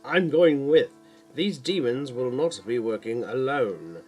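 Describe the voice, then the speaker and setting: A man's voice: medium pitch, expressive, slow, a little mellow, breathy edge, soft background music. A man voicing an earnest, knowledgeable male guardian hero in an audiobook, explanatory with an urgent edge. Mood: determined.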